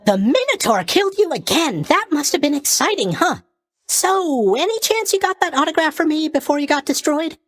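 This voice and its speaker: A man's voice: very high voice, a bit bright, no echo, exaggerated voice. A man playing a cheery, oblivious young god of sleep in a video game, chatty and blithely tactless in his mock sympathy. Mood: starstruck, chatty. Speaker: video game character voice actor. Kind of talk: video game character voice lines.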